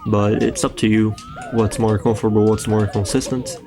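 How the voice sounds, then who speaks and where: A man's voice: lowish voice, normal speed, over music. A young Brazilian man with a Brazilian Portuguese accent, a native Portuguese speaker, casually talking through a game speedrun tutorial and swearing now and then. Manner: relaxed, loose, swears casually, frequent fillers. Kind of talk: casual gameplay tutorial voice-over.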